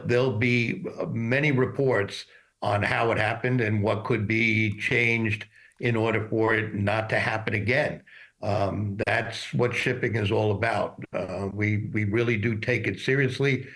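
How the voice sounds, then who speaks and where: Low-voiced man, halting expert commentary. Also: fairly bright tone. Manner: halting, explanatory, matter-of-fact. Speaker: shipping executive as expert guest. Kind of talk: unscripted expert commentary in a news interview.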